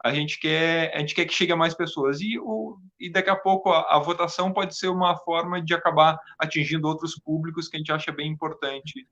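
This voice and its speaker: Bright high-voiced man, lo-fi audio. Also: fairly even intonation. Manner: halting, repeats words. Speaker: interview guest, theatre artist and video editor. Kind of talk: casual interview talk.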